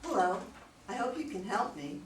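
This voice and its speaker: A woman's voice: mid-range voice, big pitch swings, normal speed, natural voice. A woman playing a worried, apologetic client in an amateur play reading. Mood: polite, chatty. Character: worried female client seeking help, apologetic. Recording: echoey room, distant mic, faint noise.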